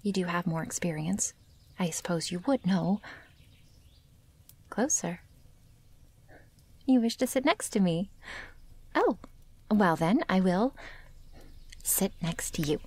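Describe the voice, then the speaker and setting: A woman voicing a wary, possessive young female werewolf in an intimate ASMR roleplay, breathy and half-whispered, with halting, formal phrasing. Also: lowish voice, animated, a little mellow, exaggerated voice. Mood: warming, tender. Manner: halting, stiffly formal phrasing without contractions.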